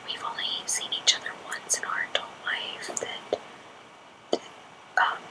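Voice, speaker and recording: Whispering young woman, hushed ASMR. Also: low-quality recording. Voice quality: breathy unvoiced whisper. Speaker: ASMR channel host. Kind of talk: whispered ASMR, casual personal storytelling.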